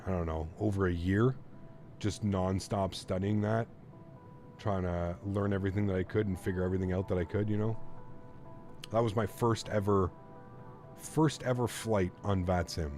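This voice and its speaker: A man in his thirties streaming a flight sim, chatting casually with viewers and telling a story, relaxed and chatty with plenty of fillers. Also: low-pitched. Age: 30s. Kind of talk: casual live-stream chat reading viewer comments.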